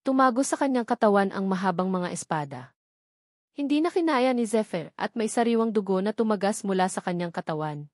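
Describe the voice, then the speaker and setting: A woman reading scripted audiobook narration of an action novel in measured, formal sentences. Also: medium pitch, animated, no echo, clean studio sound.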